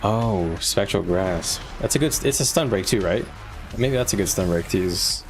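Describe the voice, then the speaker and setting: A man's voice: sits low, animated, moderate pace. A man talking casually to viewers on a live gaming stream, explaining things in an informal, conversational way. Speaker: game livestreamer. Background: music.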